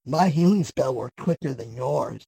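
A wicked storybook sorceress, played by a man, grasping and petulant. The voice is gravelly and husky, swinging wildly up and down with melodrama in a fairy tale audio play. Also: medium pitch, expressive, a little slow, mellow, dry recording, exaggerated voice.

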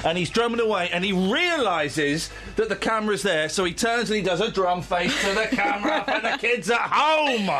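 A man's voice: high voice, lively intonation, moderate pace, hardly any pauses, a crowd in the background. A man hosting a radio breakfast show, congested from a cold, talking in a rambling, jokey way in a studio. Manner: blocked nose, rambling and jokey. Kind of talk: casual chat.

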